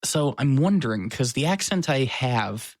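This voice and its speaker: A man with a mid-pitched voice chats casually on a podcast, joking and poking fun at himself. His voice is recorded dry and close. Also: expressive, unhurried, no room sound. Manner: joking, self-mocking. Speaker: podcast co-host. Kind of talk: casual chat. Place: his apartment.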